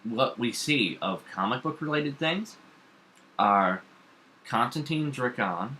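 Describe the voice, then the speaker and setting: A man co-hosting a casual talk show, giving opinions in an animated, sarcastic manner. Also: medium pitch, slow pace, slight background noise. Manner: animated, sarcastic, acts out mock dialogue. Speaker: co-host of a comics and TV talk show.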